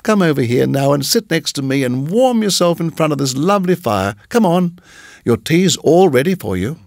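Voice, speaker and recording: A genteel older landlady played by a male narrator in a storybook reading. The voice is pitched up and lilts widely, dainty and gushing. She fusses and coaxes with sweet insistence. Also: very animated, normal speed, vocal fry, dry recording, clean studio sound. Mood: coaxing. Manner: dainty, fussing, coaxing. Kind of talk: audiobook character voice.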